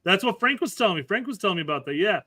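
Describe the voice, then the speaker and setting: An American man with a bright, somewhat high-pitched voice co-hosts a soccer livestream. His delivery is informal and folksy, chatting casually and often repeating his phrases. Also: lively intonation, very fast. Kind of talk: casual chat, relaying viewer comments.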